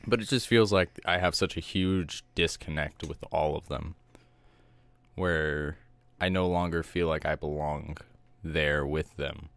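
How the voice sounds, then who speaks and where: A young adult man co-hosting a podcast, soft-spoken and calm, talking in a measured, reflective way. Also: very deep voice, gravelly, clean tone, no echo. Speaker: podcast co-host. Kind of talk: casual chat.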